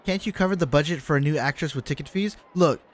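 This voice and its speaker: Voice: medium pitch, fast. A streamer with a mid-range voice talks casually over a game. The delivery is slangy and grumbling, addressed loosely to a live chat.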